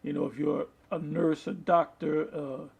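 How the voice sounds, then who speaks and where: A man preaching from the Bible, reading verses aloud and explaining them in a halting, deliberate manner, with a husky, gravelly voice. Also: fairly high pitch, level tone, a little mellow. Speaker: street-style Bible preacher. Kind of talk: Bible teaching with scripture read aloud and commentary.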